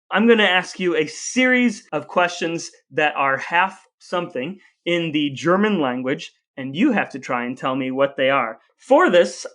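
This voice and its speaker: A man with a voice a bit higher than most hosts a casual talk show. He jokes and teases in relaxed back-and-forth, playing the part of quizmaster for his co-host. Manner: joking, teasing. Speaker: podcast host, playful quizmaster. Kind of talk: casual banter between brothers.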